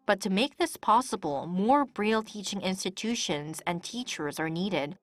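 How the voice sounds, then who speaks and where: A woman's voice: fairly low pitch, a bit bright, dry recording. A woman reporter delivering scripted narration for a television news feature.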